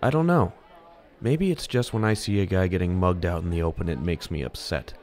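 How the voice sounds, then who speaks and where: Man as deep-voiced, dry teenage hero. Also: lightly acted. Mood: calm, firm. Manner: measured, dry, deadpan. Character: calm, self-assured, dry teenage male hero. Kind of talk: audio drama acting.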